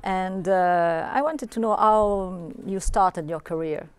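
A woman's voice: mid-range voice, slow pace, smooth, no echo. A woman hosting an event interview, asking short friendly questions and laughing along. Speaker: master of ceremony interviewer. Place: indoor event studio set.

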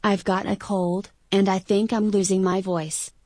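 A woman's voice from a language app, reading example sentences in an even, measured way. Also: medium pitch, dry recording.

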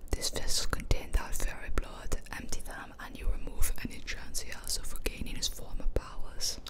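A woman reading a solemn male ghost's grave, formal game dialogue in a breathy ASMR whisper. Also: normal speed, close to an everyday voice. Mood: grave warning.

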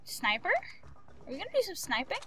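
A young woman giving playful, excitable, singsong commentary as she hosts a casual game playthrough. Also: extremely high pitch, moderate pace, slight background noise. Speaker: gaming video host. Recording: game music behind the voice.

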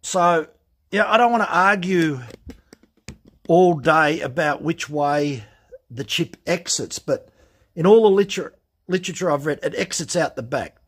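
Aussie bloke, bit husky, higher voice, easygoing how-to talk at the workbench. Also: male voice, measured pace. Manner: relaxed, plain-spoken, explaining step by step. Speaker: chainsaw channel host. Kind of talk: hands-on workshop demonstration. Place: workshop bench.

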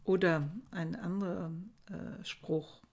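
An older woman delivers a lecture from a book-lined study at home. She speaks very slowly in a low, gravelly voice. She often hesitates and searches for words as she explains. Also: steady tone, a little mellow. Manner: slow, thoughtful, with frequent hesitations. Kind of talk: recorded lecture reading and explaining old verses. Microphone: webcam at desk.

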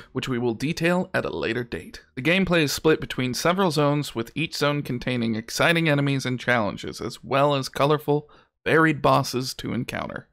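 A man's voice: medium pitch, fairly bright tone. A man presenting for a studio in scripted announcement narration. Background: music.

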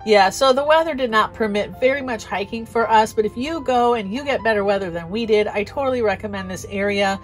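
A woman's voice: medium pitch, brisk, hardly any pauses. American woman chatting over music. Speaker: vlog host. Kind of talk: casual conversational trip recap. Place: inside a small pop-up camper.

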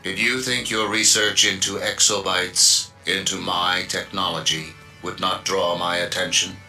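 An actor playing a cold, imperious alien machine-intelligence villain in a video game, gravelly, haughty and deliberate. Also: male voice, low voice, fairly even intonation, bright, forceful tone, full character voice, low-quality recording. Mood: cold disdain. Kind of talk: video game cutscene acting. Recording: game music behind.

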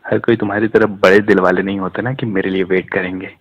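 Husky, lowish-voiced man on the phone. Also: little pitch movement, fast. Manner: teasing, joking. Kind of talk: casual phone chat. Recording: dull lo-fi phone call recording.

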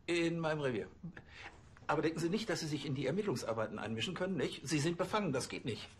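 A man's voice: fairly high pitch, fast, a little hiss or hum, lightly acted. A man playing a flustered, self-important police station chief, pompous and hesitant, in a comic crime drama. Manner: pompous, hesitant with filler words.

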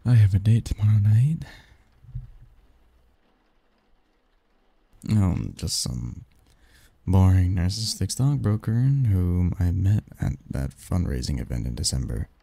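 A man playing a snarky young research assistant who fondly teases a friend in a halting, wordy, intimate ASMR roleplay monologue. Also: low voice, sing-song, creaky, mellow, husky, no room sound, full character voice. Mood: weary, wry. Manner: halting, sighing, teasing, wordy.

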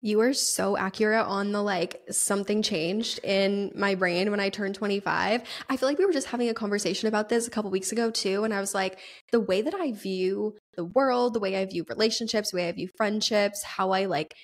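A woman's voice: medium pitch, a little fast. A woman in her mid-twenties co-hosting a podcast, rambling casually with many "like"s and frequent giggles. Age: 20s. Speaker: podcast co-host. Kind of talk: casual chat.

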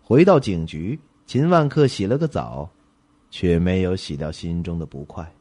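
Deep-voiced, animated male narrator. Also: normal speed, slightly rough. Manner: suspenseful, expressive storytelling. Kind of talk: dramatic scripted narration.

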